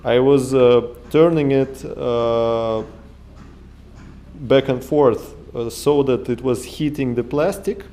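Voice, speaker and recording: Slow, halting Russian-accented man. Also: medium pitch, steady tone, dark tone. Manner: halting non-native English with many uh fillers and restarts. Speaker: maker vlogger. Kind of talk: casual workshop vlog. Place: home workshop room, indoors. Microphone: action camera held or mounted close.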